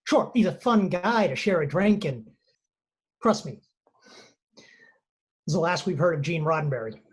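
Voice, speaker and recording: A man's voice: fairly high pitch, lively intonation, a little slow, slightly rough. A man playing a skeptical TV writer in a screenplay table read, with a dry, offhand, dismissive manner.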